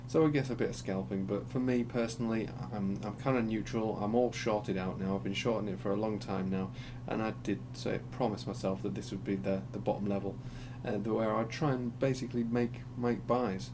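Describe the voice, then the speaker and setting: A man with a croaky voice from being unwell, talking through market charts in a rambling, hesitant way with many ums and restarts. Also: lowish voice, a little fast, few pauses. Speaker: crypto chart analyst. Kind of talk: casual screen-share market commentary. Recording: steady background hum.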